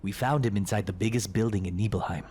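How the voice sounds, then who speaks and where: A man's voice: lowish voice, quick pace, darkish tone, slight background noise, natural voice. An actor playing a somber young male mercenary in a video game, recalling his past in a subdued, reflective way.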